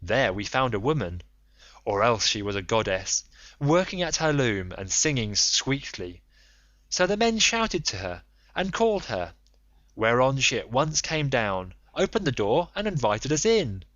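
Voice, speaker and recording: A man's voice: mid-range voice, big pitch swings, a little slow, fairly bright tone, no echo, muffled recording. A man voicing a frightened, despairing sailor in an audiobook epic, speaking in an earnest, piteous way. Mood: dismayed recounting. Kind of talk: audiobook character reading.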